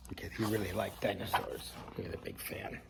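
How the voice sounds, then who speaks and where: An older man reading a children's storybook aloud like a grandfather, with expressive, deliberate phrasing and casual asides. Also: lowish voice, vocal fry, very noisy.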